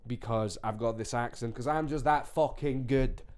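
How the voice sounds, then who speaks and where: A man's voice: mid-range voice, moderate pace, a little mellow. Romanian guy on a comedy podcast, accent kinda eastern european plus london plus a wee bit scottish, ranty and swears a lot. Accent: mix of Eastern European, London and a little Scottish. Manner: heated, sweary ranting. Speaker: podcast co-host. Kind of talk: casual comedy podcast chat.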